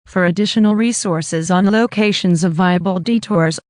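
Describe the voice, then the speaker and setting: A woman reading a formal scripted emergency message in a measured, official manner. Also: fairly low pitch, darkish tone, no echo, clean studio sound. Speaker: emergency alert announcer.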